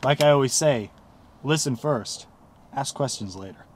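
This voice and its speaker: A man's voice: mid-range voice, normal speed, lightly acted. A young man playing a cocky, bumbling undercover agent in an amateur comedy sketch.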